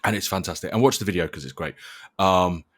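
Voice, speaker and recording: Very deep-voiced podcast host. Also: male voice, fast talker, a bit bright. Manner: dry, jokey, self-deprecating. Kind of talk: casual bantering podcast interview.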